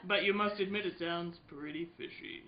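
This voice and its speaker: A woman's voice: on the low side, moderate pace, natural voice. A woman playing a wary, coolly skeptical wealthy man in a comic live reading of an old radio play. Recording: dull sound with faint noise.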